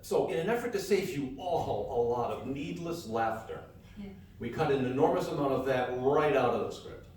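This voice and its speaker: A man's voice: mid-range voice, lively intonation, normal speed, a little mellow. A man performing scripted comedy banter to a live audience in the manner of a mock-grand showman announcer. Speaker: comedy radio show performer and co-host. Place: live stage in a hall. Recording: very echoey hall, distant mic, faint noise.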